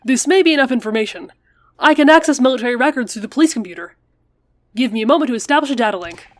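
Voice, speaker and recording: A woman with a tired, weak voice reading the lines of a cocky robot sidekick in a game, brisk and confident. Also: high-pitched, expressive, no room sound.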